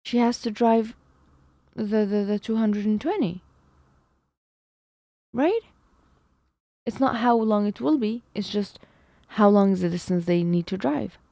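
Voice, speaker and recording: A woman's voice: medium pitch, measured pace, creaky, pauses often, dark tone, no room sound. A woman live-streaming, thinking aloud over math problems, second-guessing herself and chatting with viewers. She feels her voice is going. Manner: talks to chat, muses and second-guesses herself.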